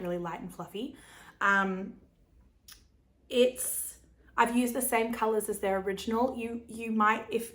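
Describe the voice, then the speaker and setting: Croaky chatty Australian woman. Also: mid-range voice. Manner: chatty, bubbly, many fillers and giggles. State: croaky voice from laryngitis. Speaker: knitting podcast host. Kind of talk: casual chat to camera.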